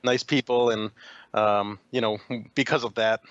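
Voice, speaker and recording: A man's voice: on the low side, fairly even intonation, unhurried, clean tone, highs cut off. A young man from Chicago, a podcast guest chatting casually with lots of fillers and restarts. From Chicago, USA. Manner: rambling, frequent fillers and restarts. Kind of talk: casual interview chat.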